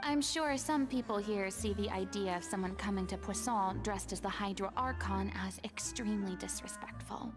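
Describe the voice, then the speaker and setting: A woman playing a remorseful, fragile young former false god in a video game, speaking earnestly with breathy hesitation. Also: mid-range voice, normal speed, hardly any pauses, close to an everyday voice. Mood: guilty, anxious. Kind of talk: dramatic video game dialogue. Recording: music playing behind the voice. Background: game music.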